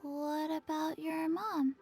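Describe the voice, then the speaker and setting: A woman's voice: sits high, fairly even intonation, slow, a little mellow. A woman voicing a tiny, perky, boastful fairy companion girl in an anime-style video game. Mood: curious. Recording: game music behind the voice.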